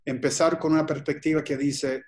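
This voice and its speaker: A man from Chile giving a webinar presentation over a video call, speaking in a halting, deliberate way with frequent pauses and restarts. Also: a little high, level tone, a little mellow. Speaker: guest presenter.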